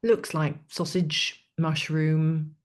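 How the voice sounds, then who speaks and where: A woman's voice: sits low, flat delivery, extremely slow. An actress playing a matter-of-fact female pathologist, clipped, detached and businesslike in a stage play. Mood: clinical, detached.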